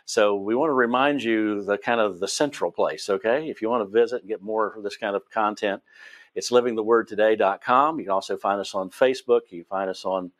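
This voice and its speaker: A man's voice: lowish voice, brisk. Rambling West Virginian male host. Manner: rambling, many fillers and restarts. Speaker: host and Bible teacher. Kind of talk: casual interview.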